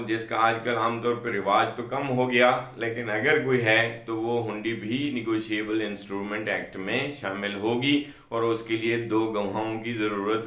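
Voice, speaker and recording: A man's voice: medium pitch, pushed and bright. A man with a Pakistani accent giving an explanatory law lecture in a didactic way, stressing and repeating key points, with English legal terms mixed in. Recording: roomy, echoing sound.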